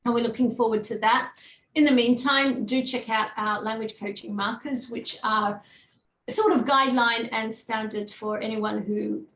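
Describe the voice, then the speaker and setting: A woman's voice: fairly high pitch, steady tone, moderate pace, fairly bright tone. A woman hosting a video call event, making closing announcements in an upbeat, fluent way. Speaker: event host. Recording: roomy call audio with faint noise.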